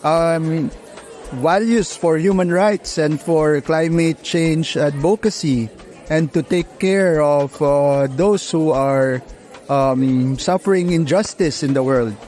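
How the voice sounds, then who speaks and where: A man from the Philippines speaks in a somewhat higher register, recorded outdoors in an urban setting. He talks as a program fellow in a short soundbite, hesitating often with small fillers between phrases. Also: slow pace, a little mellow.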